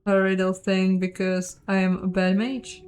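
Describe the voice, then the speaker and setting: Slow-talking woman gaming over music. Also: medium pitch, monotone, a little mellow. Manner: chatty, self-deprecating, thinking aloud. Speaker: host of a game playthrough, voicing the dialogue. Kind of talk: casual let's play commentary with read-out dialogue. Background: game music.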